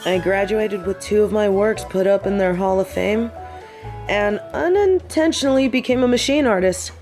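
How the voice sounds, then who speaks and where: A woman's voice: medium pitch, moderate pace, darkish tone, close to an everyday voice. A woman acting a young biker on a date, wistful and defiant, confiding her memories in a scripted audio roleplay. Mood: wistful, subdued. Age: young adult. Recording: very echoey, distant sound with music behind. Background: music.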